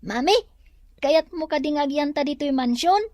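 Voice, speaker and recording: A woman plays a small child in an audio drama, with a very high voice. The child sounds curious, eager and pleading. The sound is close and dry. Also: normal speed, a little mellow, dry recording, close to an everyday voice. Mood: eager, delighted. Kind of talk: Ilocano radio-style drama acting.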